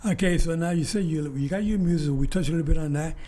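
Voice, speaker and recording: A man hosting an interview, asking relaxed questions with frequent fillers. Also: fairly high pitch, quick pace. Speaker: host. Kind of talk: casual interview.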